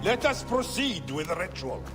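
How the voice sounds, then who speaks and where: An actor playing a male seer who intones a ritual slowly and solemnly. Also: high-pitched, sing-song, big-room sound. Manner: slow, solemn, incantatory. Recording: game audio with music.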